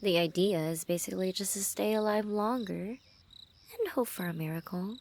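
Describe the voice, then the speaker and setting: A woman playing a lovestruck assassin in an intimate ASMR roleplay, teasing and menacing, speaking softly and often dropping into whispers. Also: mid-range voice, moderate pace, creaky, slight background noise, close to an everyday voice. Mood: coolly teasing.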